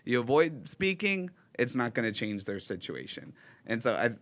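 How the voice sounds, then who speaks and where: A man's voice: medium pitch, big pitch swings, moderate pace, fairly bright tone. A man in his thirties, a pastor, chatting in an easygoing, agreeable way with many fillers. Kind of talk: casual chat.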